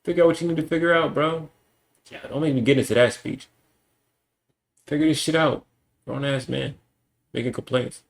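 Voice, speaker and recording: A man's voice: on the high side, steady tone, normal speed, gravelly, pauses often. A young man streaming alone, ranting bluntly and with lots of profanity in a casual monologue. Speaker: livestreamer.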